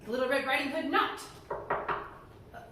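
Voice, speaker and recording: A woman reading a fairy tale aloud to children in a library, animated and often laughing. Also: high-pitched, normal speed, slight background noise. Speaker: storyteller. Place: library room. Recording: echoey room, heard from far off.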